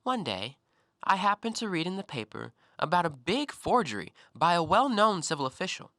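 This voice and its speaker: An actor in an audio play, playing a talkative, self-assured intellectual who probes and needles with articulate, deliberate theatrical phrasing. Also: male voice, sits high, big pitch swings, sharp tone, no room sound, clean studio sound, heavily acted. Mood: reflective storytelling. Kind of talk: stage-style audio play monologue.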